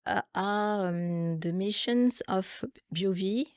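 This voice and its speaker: A woman interviewer asking questions hesitantly, pausing between her words. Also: mid-range voice, no room sound, studio quality.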